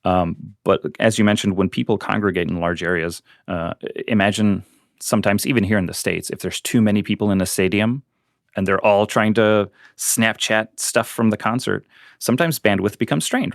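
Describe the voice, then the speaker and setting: Interview guest: a low-voiced man who grew up speaking Ukrainian, relaxed and plainspoken, with a dry close sound. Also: vocal fry, dry recording. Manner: explains fast and plainly with fillers and restarts. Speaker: guest, volunteer on a missing-persons website. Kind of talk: casual interview talk.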